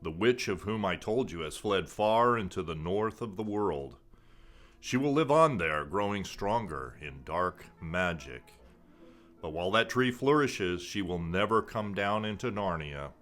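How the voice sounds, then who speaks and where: A man playing a majestic, solemn lion ruler in a children's fantasy drama, proclaiming with slow, commanding gravity. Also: deep voice, lively intonation, fairly bright tone, faint music behind. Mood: grave, solemn.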